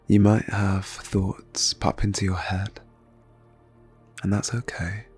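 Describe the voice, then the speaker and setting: A man leading an ASMR guided meditation in a soft, breathy near-whisper, phrasing slowly and soothingly with long pauses. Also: deep voice, completely flat delivery, soft background music. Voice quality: breathy, hushed.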